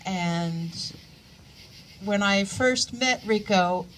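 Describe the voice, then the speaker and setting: A woman in her sixties speaks at a memorial for a coworker. She talks in a halting way, with frequent pauses and small fillers, at a middling pitch, and a faint hiss sits under her voice. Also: a bit bright. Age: 60s. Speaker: coworker giving a eulogy. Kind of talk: memorial remarks.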